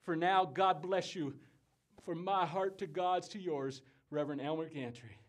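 Conman revival preacher, high-pitched male voice, fiery, bombastic, folksy, exhortatory, theatrical stage sermon. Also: measured pace. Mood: exhorting. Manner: bombastic, exhortatory, folksy, swinging from hushed confiding to shouting. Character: fiery conman revivalist preacher crusading against booze and sin. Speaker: stage actor.

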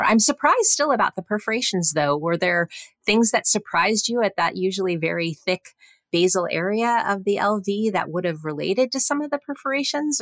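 Deep-voiced woman interviewer with creaky edge. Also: dry recording. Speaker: host, physician interviewer. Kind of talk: conversational medical podcast interview.

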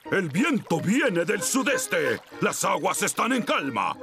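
A man's voice: high-pitched, huge pitch swings, slow, gravelly, heavily acted. An actor with a Latin American Spanish accent playing a jovial dog sea captain and doting father in a children's cartoon, with hearty, theatrical exclamations. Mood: proud, cheerful. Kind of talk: dubbed children's cartoon acting.

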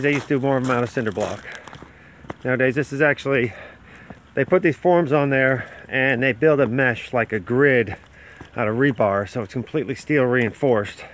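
A man's voice: mid-range voice, fairly bright tone, slight background noise. A middle-aged home builder filming a casual, plain-spoken walkthrough of a construction site outdoors on a handheld phone, explaining as he goes with fillers and restarts. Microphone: handheld phone camera.

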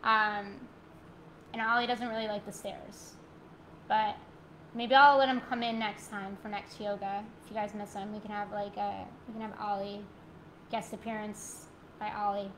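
Yoga teacher lady on her laptop mic at home, bright clear voice, chatty and warm with her class, faint room hiss. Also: medium pitch, flat delivery, rough recording. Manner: soft, slow guided cues, then bubbly rambling chat. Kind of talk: live-streamed yoga class, chatting with viewers. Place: living room at home, indoors. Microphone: laptop mic, webcam framing.

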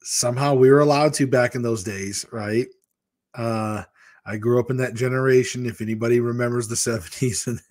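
A man's voice: mid-range voice, a little mellow. Rambling candid man in his fifties. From Michigan, USA. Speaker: weight-loss vlogger hosting a live chat. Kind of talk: casual livestream chat with viewers. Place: small room at home, indoors. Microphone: large desk microphone in front of a webcam.

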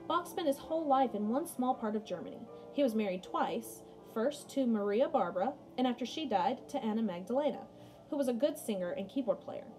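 High-voiced young woman teaching, chatty. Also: expressive, a little fast, a little mellow. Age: young adult. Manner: chatty, informal asides between read passages. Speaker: music teacher. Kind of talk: casual read-aloud lesson for pupils. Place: small room at home, indoors. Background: music playing behind the voice.

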